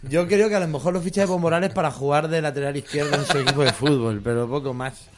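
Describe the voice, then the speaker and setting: A man's voice: on the high side, fast, background noise. A man speaking as a comedian guest on a casual comedy talk show, making wry asides with chuckles and restarting his sentences. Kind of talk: casual comedy radio chat.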